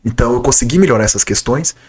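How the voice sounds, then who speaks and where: A man's voice: medium pitch, quick pace, darkish tone, breathy edge. A young Brazilian man, a nutritionist, chatting casually to his viewers in a vlog. He sounds slightly nasal from a cold and talks with enthusiasm. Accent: Brazilian Portuguese. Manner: conversational, enthusiastic, direct address to viewers. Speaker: nutritionist vlogger. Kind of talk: casual talking-to-camera vlog.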